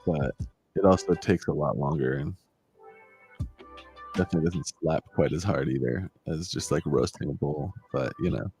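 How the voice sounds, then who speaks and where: Low husky dude on a stream, mellow and chill, faint music behind. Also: male voice, moderate pace. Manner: laid-back, short agreeing replies. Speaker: streamer co-host. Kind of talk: casual stream chat.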